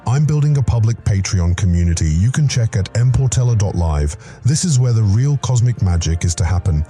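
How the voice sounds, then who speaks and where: Podcast guy reading his promo, mellow lowish voice, big cosmic wording, quiet music under it. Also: animated, a little fast. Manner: earnest, grandiose cosmic phrasing. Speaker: podcast creator and host. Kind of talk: scripted promo read.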